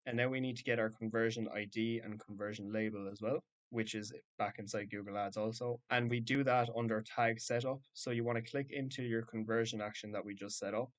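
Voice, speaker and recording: A man narrating a step-by-step software tutorial in a matter-of-fact, instructional way. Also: on the low side, fairly even intonation, brisk, hardly any pauses. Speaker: tutorial presenter.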